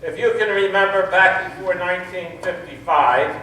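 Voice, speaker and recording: Bright, high-voiced nostalgic narrator played by a man. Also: normal speed, a little hiss or hum. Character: nostalgic old-time radio narrator. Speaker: narrator of a stage play. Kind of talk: scripted narration. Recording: echoey hall, far from microphone.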